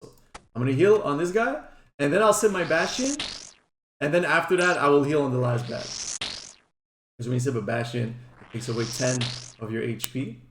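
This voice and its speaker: A man's voice: fairly high pitch, moderate pace, long pauses. French-speaking man, echoey gaming commentary. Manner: informal, chatty, occasional swearing. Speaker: gaming streamer. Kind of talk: casual gameplay guide commentary. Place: indoors at a computer. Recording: roomy echo, faint background noise.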